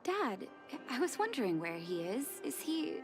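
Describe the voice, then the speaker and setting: Actress doing a sweet-hearted young daughter in a drama, high voice, emotional, apologetic. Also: female voice, very animated, normal speed, music in the background. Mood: polite, anxious. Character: earnest, emotional young woman doctor, devoted daughter. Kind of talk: dramatic voice acting.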